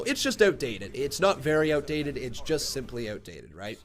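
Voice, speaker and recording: A man with a cold and a plugged-up voice, chatting casually through a live reaction stream and breaking into excited play-by-play. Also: medium pitch. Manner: chatty, thinks aloud, bursts into excited play-by-play. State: congested from a cold.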